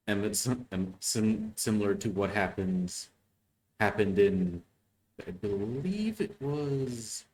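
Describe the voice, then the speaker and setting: Guy with kinda deep voice reacting casually, stumbles while thinking out loud, bit of room noise. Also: rough recording. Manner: halting, thinking aloud. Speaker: reactor commenting on an audiodrama. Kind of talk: casual off-the-cuff commentary.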